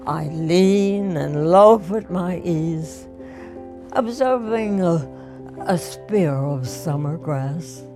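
A woman reading poetry aloud for a documentary, slow and halting, with long pauses between phrases. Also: lowish voice, over music.

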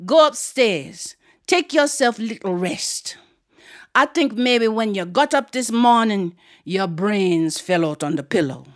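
A woman storyteller acts out a towering, regal old-school aunt. She is stern and blunt, commanding in a deep voice, with a teasing edge. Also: animated, takes it slowly.

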